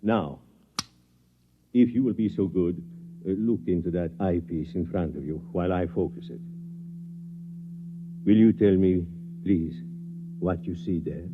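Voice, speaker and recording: A deep-voiced scientist in an old radio drama, coolly confident about his grand plan. He speaks formally and deliberately, with measured courtesy. The sound is a dull, band-limited old broadcast. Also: a little hiss or hum. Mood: courteous, composed. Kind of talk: mid-century radio drama acting.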